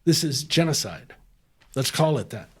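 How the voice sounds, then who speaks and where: A man's voice: mid-range voice, big pitch swings, moderate pace, a bit bright, slightly rough. A man guest in a conversational interview, speaking in an impassioned, emphatic way with frequent restarts. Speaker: interview guest, former chef turned humanitarian.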